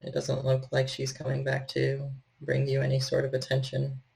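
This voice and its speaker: A man with a gravelly, husky voice, narrating a horror tabletop game as storyteller in measured, literary phrasing. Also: mid-range voice, monotone, a little mellow, rough recording.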